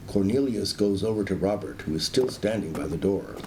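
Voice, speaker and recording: A man's voice: sits low, lively intonation, a little fast, noisy background. A man giving scripted narration of stage directions in a radio play.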